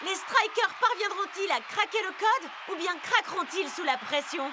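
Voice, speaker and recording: A woman playing an excited cartoon sports commentator, dramatic and questioning. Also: extremely high pitch, normal speed, background noise. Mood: suspenseful.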